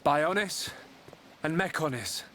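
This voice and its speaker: A man's voice: on the high side, lively intonation, takes it slowly, pushed and bright, slight background noise, heavily acted. A young actor playing a polite, earnest boy hero in a video game. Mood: grateful, sincere. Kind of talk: video game character acting.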